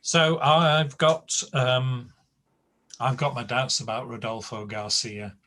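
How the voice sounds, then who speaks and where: A man running a class over a video call speaks, unhurried and full of ums. His pitch sits in the middle, and his pace is very slow. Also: fairly even intonation, bright, forceful tone. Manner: slow, hesitant, filler-heavy. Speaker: teacher. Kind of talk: online class.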